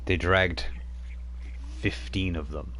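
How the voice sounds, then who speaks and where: A man running a tabletop role-playing game as game master, narrating in a relaxed, halting way that slows down for drama. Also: low voice, a little hiss or hum.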